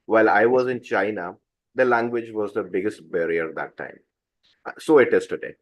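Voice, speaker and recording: A man at a club meeting sharing a personal experience, pausing often to search for words. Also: fairly low pitch, highs cut off. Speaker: meeting participant. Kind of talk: personal anecdote.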